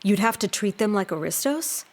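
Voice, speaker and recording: Curious hushed listener, audio drama, mid-pitched female voice. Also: expressive, normal speed. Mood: hushed, shocked.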